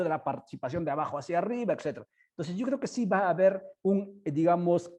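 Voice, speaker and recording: A man who speaks Spanish as a second language, hosting an online discussion in a halting, informal way, with many fillers and tag questions. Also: on the high side, warm tone. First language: not Spanish. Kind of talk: informal spoken commentary to an online audience. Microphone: headset on a video call.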